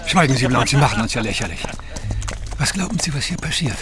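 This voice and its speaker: A man's voice: mid-range voice, lively intonation, quick pace, over music, close to an everyday voice. An actor playing a scornful, fatalistic male captive in an audio drama, curt and sardonic. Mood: scornful, grim.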